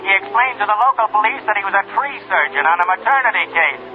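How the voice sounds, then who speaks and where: An actor playing a sardonic, wisecracking Hollywood press agent with mock-formal sarcasm, in a 1940s radio drama. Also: male voice, sits high, expressive, fast talker, noisy background. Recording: tinny narrow-band 1940s radio.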